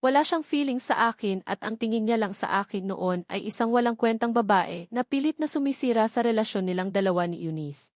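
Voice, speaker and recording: A woman's voice: fairly high pitch, lively intonation, brisk, darkish tone, dry recording, clean studio sound. A woman with a Filipino accent narrating a first-person romance novel as a scripted story. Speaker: audiobook narrator.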